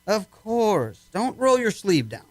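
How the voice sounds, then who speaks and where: An amateur actor playing a skeptical, firm military base doctor in a radio play, measured and coaxing. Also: male voice, sits high, sing-song, no echo, full character voice. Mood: calm, coaxing.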